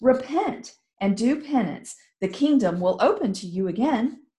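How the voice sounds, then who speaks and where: A woman voicing a powerful ranger commander who gives calm, measured orders. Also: on the high side, voice pushed up. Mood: grave. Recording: roomy echo.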